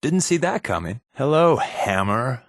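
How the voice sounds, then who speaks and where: A man's voice: medium pitch, big pitch swings, a little slow, no room sound, studio quality. A man voicing a wisecracking, guarded undercover agent companion in a video game, with a wry, sarcastic, flippant delivery.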